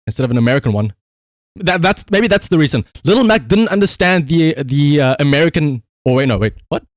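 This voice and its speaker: South African male game streamer, excitable, very lively pitch, dry close sound. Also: dry recording. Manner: animated, excitable, exclamatory. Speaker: livestreamer. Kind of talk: live gaming stream commentary, calling out voice commands.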